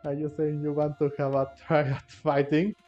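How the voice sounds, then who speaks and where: A man's voice: a little high, level tone, moderate pace, dark tone, highs cut off. A man giving casual, hesitant gameplay commentary as he plays. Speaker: gamer playing and commenting. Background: faint game music.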